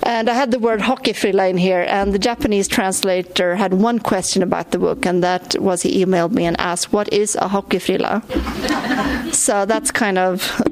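A woman's voice: mid-range voice, steady tone, normal speed. A woman with a Swedish accent, a native Swedish speaker, chatting through an audience Q&A as a visiting author at a library, self-deprecating and laughing easily. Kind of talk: talk and Q&A with an audience. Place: library event. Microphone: recorded for a podcast.

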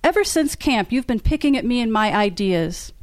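A woman's voice: fairly high pitch, expressive, moderate pace, no room sound, natural voice. A woman reading aloud as a cool, controlled man who speaks tightly and mockingly. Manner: clipped, mocking. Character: cool, controlled man, calm but tense.

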